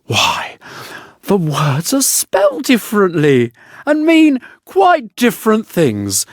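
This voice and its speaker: A pompous storybook miller, acted out by a man, lecturing with great self-importance. The voice sits a little high and swoops freely up and down. The recording is dry and close. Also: huge pitch swings, slow, no echo, heavily acted. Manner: sententious, moralizing, self-important. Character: pompous, self-satisfied miller, a selfish middle-aged man.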